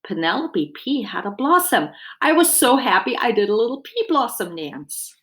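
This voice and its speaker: A woman's voice: on the high side, big pitch swings, moderate pace, a bit bright. A woman reading a children's book aloud expressively, with simple explanatory asides as if to young children.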